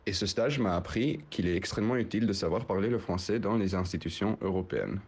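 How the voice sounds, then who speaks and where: A man from Belgium giving a measured personal testimony. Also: low-pitched, animated, darkish tone, breathy edge.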